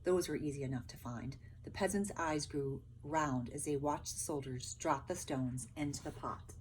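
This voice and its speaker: A woman's voice: sits low, moderate pace, a little mellow, a little hiss or hum, low-quality recording. A woman teacher reading a picture book aloud expressively, with emphatic delivery and questions for her listeners.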